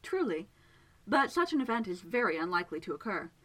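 A woman voicing a prim, even-tempered gentleman village magician who speaks with precise, polite formality. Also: mid-range voice, brisk, rough recording.

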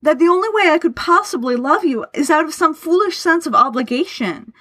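A woman's voice: a little high, a little fast, pitched above the performer's own voice, natural voice. A woman voicing an earnest, hurt man who pleads passionately in formal, old-fashioned phrasing, as in an audio story. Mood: anguished, pleading.

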